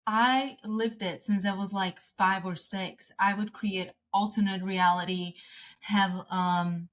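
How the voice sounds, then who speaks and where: A woman's voice: medium pitch, steady tone, takes it slowly. A woman, talking casually as an interview guest, restarting sentences and laughing often. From Slovakia.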